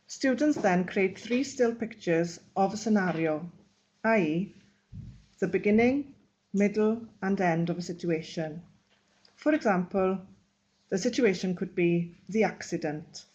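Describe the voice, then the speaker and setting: A woman teacher reading out a webinar presentation in a measured, instructional way. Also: lowish voice, darkish tone, low-quality recording. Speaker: Welsh language teacher. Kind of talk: read-aloud webinar presentation.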